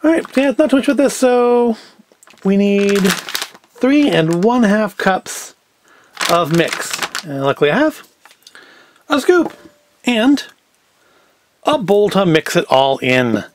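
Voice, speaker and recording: Lively, high-pitched man reviewing casually. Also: slow pace, long pauses. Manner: wry, self-deprecating asides. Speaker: host of a food review show. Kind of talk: casual unscripted product review. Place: indoor tabletop set. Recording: clear background noise, packaging crinkles. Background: clicks and crinkling packaging.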